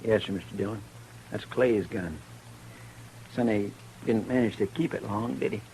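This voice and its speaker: An actor playing a folksy, deferential marshal's helper in a 1950s radio western. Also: male voice, on the low side, moderate pace, creaky, rough recording. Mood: matter-of-fact. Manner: deferential, animated. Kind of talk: 1950s radio drama acting. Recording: hissy old 1950s radio recording.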